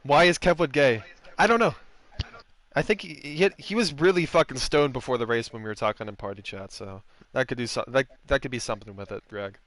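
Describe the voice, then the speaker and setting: A man's voice: mid-range voice, a little fast, a bit bright, dry recording. A man hosting a post-race sim racing stream, chatting casually with drivers and joking crudely. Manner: joking, laughing, crude banter.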